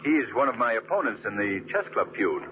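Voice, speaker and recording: A man plays a suave young gentleman detective of old radio. He is polished and easygoing, with lively pitch movement, on a dull recording with plenty of noise. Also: brisk, natural voice. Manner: polished, easygoing, well-spoken. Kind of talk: 1940s radio acting. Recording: muffled, noisy 1940s radio broadcast.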